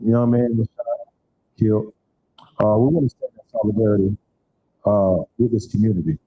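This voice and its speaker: A man's voice: on the low side, steady tone, a little fast, phone-quality sound, slight background noise. A man giving loose, repetitive closing remarks as organizer of a community event in a church hall.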